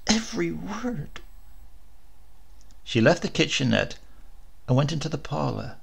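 A man's voice: medium pitch, normal speed, vocal fry, husky. A man narrating a horror story as a dramatic audiobook reading.

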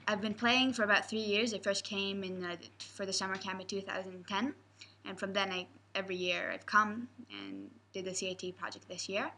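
Bright-voiced teenage girl. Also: mid-range voice, moderate pace, a little hiss or hum. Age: teens. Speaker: camp youth leader. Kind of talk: interview answers. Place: indoor room with posters.

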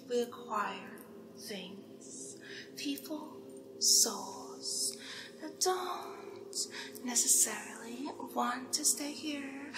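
A woman playing a seductive, teasing demoness hostess in an ASMR roleplay, speaking in breathy half-whispers and lingering on repeated words. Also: high-pitched, full character voice. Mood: boastful, gracious. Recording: roomy echo, clear background noise.

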